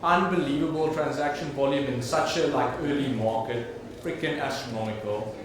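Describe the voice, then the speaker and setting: A man's voice: mid-range voice, moderate pace. A man with a South African accent hosting an awards show on stage, slangy and enthusiastic, with casual swearing. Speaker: awards host. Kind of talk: lively stage emceeing. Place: large event hall with audience. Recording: very echoey hall with crowd noise.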